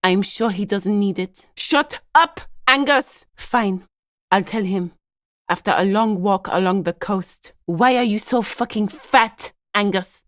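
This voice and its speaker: A woman's voice: medium pitch, steady tone, a little slow, dry recording, clean studio sound, close to an everyday voice. A woman playing a stern, guarded lawyer for a gang in a video game, with a formal, clipped, authoritative manner. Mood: angry, commanding. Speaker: video game character voice actor. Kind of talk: scripted game dialogue.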